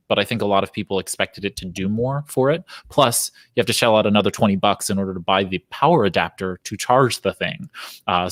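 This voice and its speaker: A man co-hosting a tech news podcast, with a big booming voice and lots of "uh" fillers in his casual chat. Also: fairly bright tone, dry recording, studio quality. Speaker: podcast co-host.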